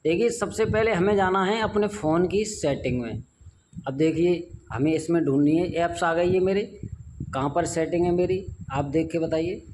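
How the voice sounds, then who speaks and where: A man's voice: a little high, normal speed, a little mellow. A man from India giving an informal step-by-step phone tutorial, repeating his phrases and instructions as he goes. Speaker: tech tutorial host. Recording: noisy amateur recording with background ambience.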